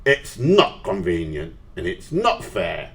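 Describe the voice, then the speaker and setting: A man's voice: low voice, huge pitch swings, takes it slowly, full character voice. A man acting a miserly, grumpy old businessman in an audio play, curt, scornful and dismissive. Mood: grudging, irritated.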